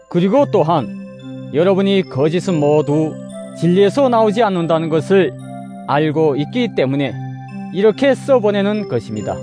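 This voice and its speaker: A man reads scripture as a dramatic narration, with music playing beneath him. His voice sits high and moves widely in pitch. The delivery is solemn, deliberate and exhorting. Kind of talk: dramatic scripted Bible reading. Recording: music under the voice. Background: musical instrument backing.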